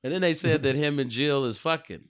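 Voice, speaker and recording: A man's voice: on the high side, fairly quick, clear, resonant tone. A man co-hosting a podcast, chatting casually with slang and swearing. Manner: slangy, profane. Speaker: podcast co-host.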